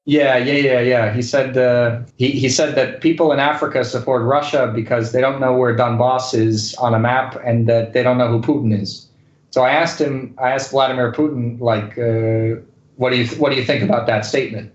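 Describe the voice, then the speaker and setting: A man's voice: medium pitch, fairly even intonation, moderate pace. A man speaking casually as an interview guest, with a hesitant delivery full of fillers. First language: English and Spanish. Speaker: guest, correspondent and news anchor. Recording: dull lo-fi roomy sound.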